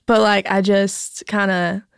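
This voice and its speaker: A woman's voice: medium pitch, level tone, takes it slowly, gravelly, clear, resonant tone, no room sound, studio quality. A young woman, a singer-songwriter guest on a podcast, chatting and giggling, saying "like" constantly. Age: young adult. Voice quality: mezzo-soprano singer. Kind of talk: casual interview chat.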